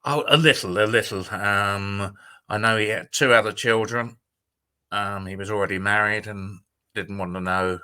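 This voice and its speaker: A man's voice: fairly low pitch, steady tone, normal speed, bright, forceful tone, clean tone. An older man, a guest on an interview show, speaking hesitantly with dry humour. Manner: hesitant, many ums and restarts, dry humour. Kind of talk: casual interview.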